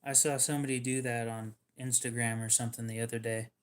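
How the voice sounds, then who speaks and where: A man hosting a livestream in casual chat, talking in a dry, monotone, subdued way that sounds depressed to him. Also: mid-range voice, slight background noise. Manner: dry, self-deprecating, unhurried. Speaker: livestream host.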